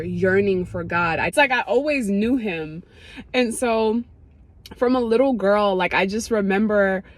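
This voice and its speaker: A young woman vlogger from Ethiopia talks straight to her viewers in a loose, chatty stream of thought. She gets emotional and excited as she goes, with lots of "like" and quick restarts. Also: medium pitch. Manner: emotional, excitable, many fillers. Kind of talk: casual testimony to camera.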